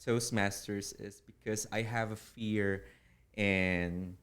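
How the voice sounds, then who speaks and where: A man with a Filipino accent, a native Tagalog speaker, explaining things earnestly as an interview guest in deliberate, formal phrasing. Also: fairly low pitch, fairly even intonation, fairly bright tone. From Philippines. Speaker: interview guest, public speaking club officer.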